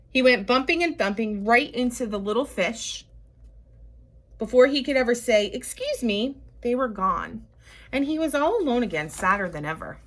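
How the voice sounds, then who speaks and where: A woman reading a picture book aloud to young children, with expressive drawn-out emphasis and chatty asides to her listeners. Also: medium pitch.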